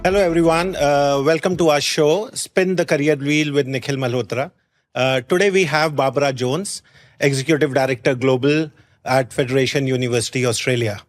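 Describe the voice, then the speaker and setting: A man's voice: medium pitch, fairly quick, soft background music. A man from India hosting a career podcast, asking long conversational questions with frequent fillers and restarts. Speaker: podcast host. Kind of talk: podcast interview.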